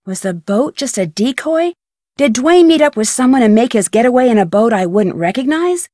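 An actress playing a young woman detective who narrates her case in a measured, articulate, scripted game voice-over. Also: fairly high pitch, animated, warm tone, no room sound, clean studio sound. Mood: composed, recounting.

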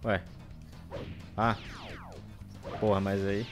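A Brazilian man doing casual, exasperated gameplay commentary, excitable and full of swearing. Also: on the low side, very slow, over music. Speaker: gaming video host.

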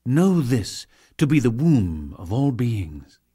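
Slow, dramatic male scripture narrator. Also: medium pitch, big pitch swings, dark tone, slightly rough. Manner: solemn, declamatory, measured phrasing with deliberate pauses. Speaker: audiobook narrator. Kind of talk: dramatic reading of sacred scripture.

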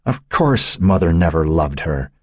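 A man reading the part of a cold, sly woman who is a hidden second personality, speaking in a husky voice. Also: deep voice, lively intonation, a little slow, no echo, clean studio sound, heavily acted. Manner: taunting, sly, icy.

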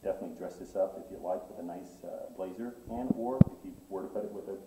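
A man's voice: deep voice, fast, recorded from a distance, very noisy. A middle-aged man who owns a clothing boutique, showing off items with eager salesman talk full of fillers. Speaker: boutique owner. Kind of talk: product walkthrough in interview. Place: menswear boutique, indoors. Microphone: far camera. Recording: echoey shop sound from off-mic.

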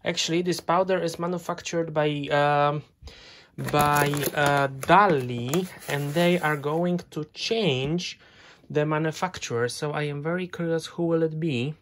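Slow, hesitant man narrating laundry. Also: a little high, fairly bright tone, slight background noise. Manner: unhurried, hesitant, chatty explaining. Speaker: laundry vlogger. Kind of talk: casual narration while doing laundry. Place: home laundry area.

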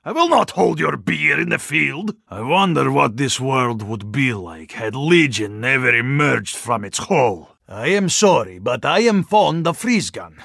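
A hulking, ice-cold Russian super-soldier for a video game. His voice has a gravelly edge and swings widely in pitch. He speaks in a formal, earnest, stoic way with a Russian accent, recorded very dry and close. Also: a bit bright, no echo, full character voice. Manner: formal, deliberate, literal-minded phrasing with dropped articles. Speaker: game character voice actor.

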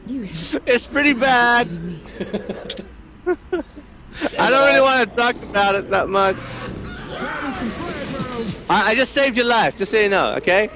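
A man's voice: very high-pitched, slow pace, pauses often, crowd noise. A man chatting casually while playing a co-op game, talking in a put-on joke accent. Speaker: gamer playing co-op. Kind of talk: casual gameplay chat. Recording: loud game noise behind. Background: game sound effects.

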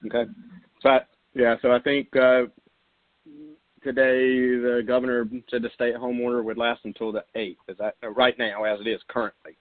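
A man with a mid-pitched voice chairs a formal council meeting. His voice comes through a thin, narrow phone line. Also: level tone, normal speed, no echo. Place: conference call. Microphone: telephone.

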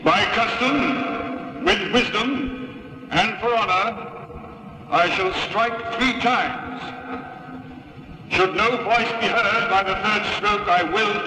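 A man playing a solemn alien high official in an audio drama, proclaiming formally and deliberately as at an inauguration. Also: bright, forceful tone, faint music behind, heavily acted. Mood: solemn, ceremonial. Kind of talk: ceremonial audio drama acting. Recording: distant, echoing hall with long reverb.

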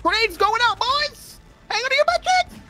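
Excitable high-pitched man, swooping voice. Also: normal speed, loud music behind the voice. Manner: excitable, exclamatory. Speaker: gaming video co-player. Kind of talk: casual, playful gameplay banter.

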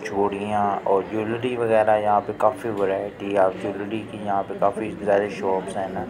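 A man explaining the shops of a street market in a relaxed, casual way. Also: fairly low pitch, normal speed, poor audio. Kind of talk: casual guide talk. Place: busy street market outdoors at night. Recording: busy crowd noise behind.

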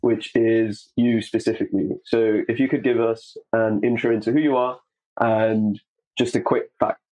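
A man's voice: lowish voice, level tone, normal speed, mellow, rough recording. A man hosting a podcast, asking friendly prompting questions of his guest. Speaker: podcast host. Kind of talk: podcast interview.

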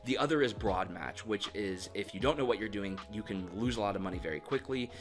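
Lowish-voiced man giving casual business advice. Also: fairly quick, faint music behind. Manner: conversational, frequent "you know" and "um" fillers, direct advice to viewers. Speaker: marketing agency owner giving advice. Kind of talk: casual explainer to camera.

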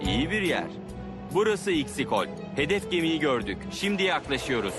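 An actor playing a dutiful environmental patrol pilot in a cartoon dub, reporting in a matter-of-fact way. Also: male voice, fairly high pitch, sing-song, normal speed, music in the background. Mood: resolute, businesslike. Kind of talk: animated educational cartoon dub.

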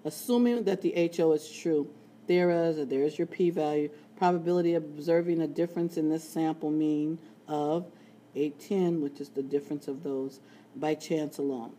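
Raspy woman, low voice, mellow tone, chatty statistics teacher, faint background noise. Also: moderate pace. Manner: chatty, playful asides. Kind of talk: screencast math lesson. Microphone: tablet screen recording.

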